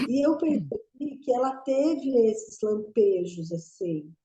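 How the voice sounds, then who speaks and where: A woman's voice: fairly high pitch, expressive, takes it slowly, mellow. Woman student, rambling, roomy dull sound. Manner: rambling, restarting sentences. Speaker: student asking a question. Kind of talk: casual group discussion.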